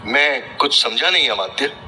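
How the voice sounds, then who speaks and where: A man's voice: mid-range voice, lively intonation, normal speed. A man playing a deferential, anxious royal treasurer in a historical drama, speaking formal Hindi with a humble, hesitant manner. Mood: puzzled, uneasy. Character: deferential, anxious, rule-abiding royal treasurer of ancient Magadha. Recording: loud dramatic music behind.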